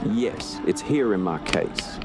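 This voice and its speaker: A man's voice: on the low side, expressive, slow pace. Man playing an earnest, formal police inspector, music behind. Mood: earnest, troubled. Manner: businesslike, polite, wordy. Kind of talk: period radio drama acting.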